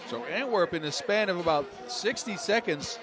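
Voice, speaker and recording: A man's voice: high-pitched, moderate pace, over music, a crowd in the background. A man giving conversational play-by-play commentary on a live basketball game, lifting his voice on big plays. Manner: conversational, excitable on big shots.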